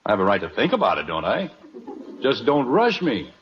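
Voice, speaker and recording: A man's voice: mid-range voice, huge pitch swings, brisk. An actor playing a stubborn, exasperated family father in an old-time radio comedy, blustering and argumentative. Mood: insistent. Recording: dull old radio recording.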